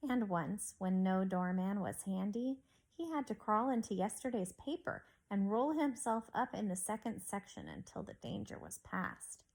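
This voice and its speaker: A woman teacher reading a storybook aloud to young children in an expressive way, recorded at home. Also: mid-range voice, normal speed, creaky, poor audio. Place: on a couch at home.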